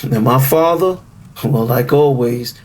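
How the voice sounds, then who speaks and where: Actor as husky-voiced man narrating family drama. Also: medium pitch, a little slow, darkish tone, close to an everyday voice. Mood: wry, uneasy. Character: adult son narrating tense family drama, resentful, wry. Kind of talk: first-person scripted audio drama narration.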